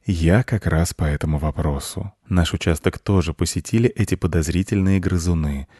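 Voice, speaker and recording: A man's voice: low voice, normal speed, warm tone, slightly rough, no echo, studio quality. A man voicing a suave, sleek house cat in an animal story, with courtly, formal and apologetic manners. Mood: dignified, polite. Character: suave, sleek, over-polite Siamese-type house cat.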